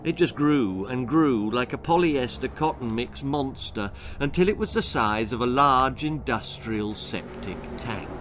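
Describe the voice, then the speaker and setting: British man storytelling with very animated delivery. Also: mid-range voice, a little hiss or hum. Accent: British English. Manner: dramatic, relishing words, with deliberate pauses. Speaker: author reading own story. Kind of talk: theatrical children's storytelling.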